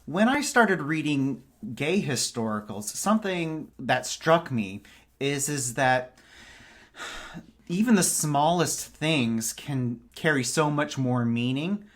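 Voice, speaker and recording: A man speaking as a podcast guest in a casual book discussion, with thoughtful, measured phrasing. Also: a little high, lively intonation, a bit bright.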